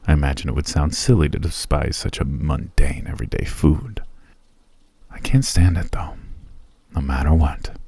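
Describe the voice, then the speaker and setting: A man voicing a courteous, centuries-old male elf who hosts a traveler in an ASMR roleplay, speaking in a gracious, formal, soft manner. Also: a bass voice, normal speed, vocal fry, slightly rough, no echo, heavily acted. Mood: friendly. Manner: gracious, formal and softly spoken. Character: ancient male elf host, courteous and genteel.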